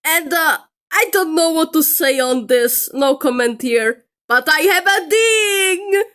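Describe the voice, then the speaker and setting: A woman's voice: sits high, expressive, slow pace, a bit bright. A woman giving opinionated commentary in an exasperated, emphatic manner. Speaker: video creator. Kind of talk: commentary reacting to a film critique.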